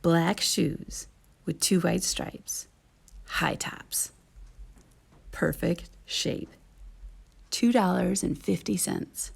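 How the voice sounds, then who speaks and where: A woman reads a children's story aloud with expressive, deliberate phrasing. Her voice is heavily creaky and she takes her time over the sentences. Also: on the low side. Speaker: teaching artist reading a storybook. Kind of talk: scripted children's story narration.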